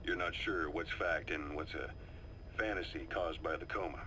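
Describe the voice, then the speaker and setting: A man playing a seasoned, calm and knowing military adviser in a video game, briefing his commander in a measured, confiding storytelling delivery. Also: rumbling low, a little hiss or hum, exaggerated voice. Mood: matter-of-fact, confiding. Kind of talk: video game voice acting, dramatic briefing monologue. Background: music.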